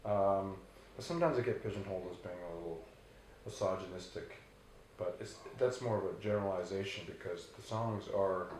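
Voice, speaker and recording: Man, bass voice, rambling, restarts, heavy room echo, light noise. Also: a little fast. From Canada. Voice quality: very low bass voice. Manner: relaxed, rambling, many restarts and fillers. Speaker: rock band singer. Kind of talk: casual interview answers.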